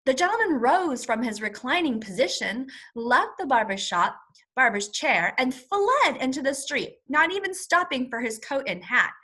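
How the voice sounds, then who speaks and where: Lively, high-voiced woman teacher reading aloud. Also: breathy edge. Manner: expressive storytelling, pauses to explain words simply. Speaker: first grade teacher. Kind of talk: read-aloud lesson for young children with word explanations.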